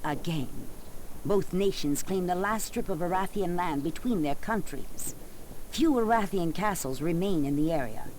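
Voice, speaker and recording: A woman reading scripted mission briefings for a game, in a measured, ominous, dramatic way. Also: fairly low pitch, darkish tone, a little hiss or hum, rough recording.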